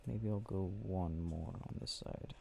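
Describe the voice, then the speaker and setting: A man speaking softly and drowsily in an ASMR boyfriend roleplay, murmuring with unhurried pauses. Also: low voice, fairly even intonation, vocal fry, slight background noise. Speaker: ASMR roleplay audio creator. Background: game clicking sounds.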